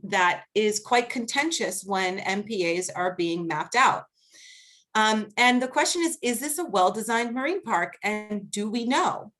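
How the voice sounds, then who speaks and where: A woman with a Boston accent speaking as a guest researcher on a video-call panel, earnest and measured, laughing easily during questions. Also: on the high side, fairly bright tone. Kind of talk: academic panel talk and Q&A.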